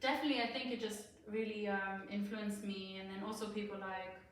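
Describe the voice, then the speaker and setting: A chatty young woman from southern Germany talks about herself in a relaxed interview. She is heard from some distance in a bare, echoing classroom. Faint room ambience hums underneath. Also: mid-range voice, a little fast, a little hiss or hum. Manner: chatty, laughing, many fillers. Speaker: singer, guest. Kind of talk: casual interview chat. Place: school classroom, indoors. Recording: echoey classroom, far from mic.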